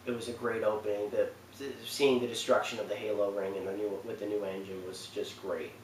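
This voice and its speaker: A man with a fairly low voice gives casual, off-the-cuff countdown commentary. He sounds a little far from the microphone in an echoey room, with faint noise. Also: flat delivery, fairly quick. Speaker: video countdown host.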